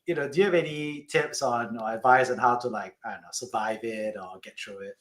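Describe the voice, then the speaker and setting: A man's voice: medium pitch, brisk, roomy sound. A man hosting a podcast, asking informal, wordy questions in a conversational way with plenty of "you know". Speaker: podcast host. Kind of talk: conversational interviewing.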